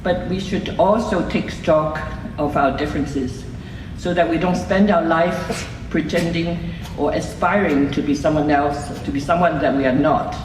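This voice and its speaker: An older woman from Hong Kong reading a prepared award acceptance speech in a measured, reflective way, recorded from afar in a reception hall. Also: low voice. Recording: echoey hall from far off, noisy room.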